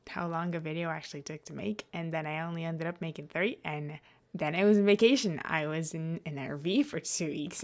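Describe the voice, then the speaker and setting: A young woman chatting casually to listeners as a host, hesitant, often trailing off and correcting herself. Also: lowish voice. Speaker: podfic reader and host. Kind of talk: casual rambling chat to listeners.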